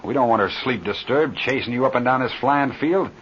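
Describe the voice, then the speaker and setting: An actor playing a commanding, teasing pilot in a 1930s radio serial, with a brisk, questioning manner. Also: male voice, mid-range voice, fairly bright tone, lightly acted. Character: commanding, teasing male pilot, senior flying partner. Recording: muffled, noisy old radio recording.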